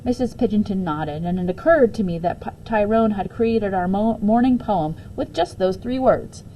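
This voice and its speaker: A woman reading a children's storybook aloud with expressive storytelling, as a teacher would. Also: mid-range voice, moderate pace, slight background noise, poor audio.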